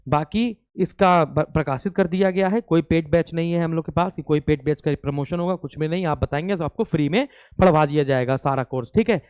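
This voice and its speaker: A man's voice: fairly high pitch, fairly quick, hardly any pauses, darkish tone, smooth, dry recording. A man giving an informal exam news update as a coaching teacher, explaining with repeated phrases and check-in tags.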